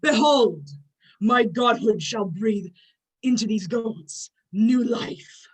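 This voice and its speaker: Slow-spoken, proud thunder god, played by a woman, solemn, pompous and threatening, for a myth play. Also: a little high, animated, slightly rough, heavily acted, rough recording. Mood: grand. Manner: pompous, declamatory. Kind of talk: amateur dramatic reading of a myth.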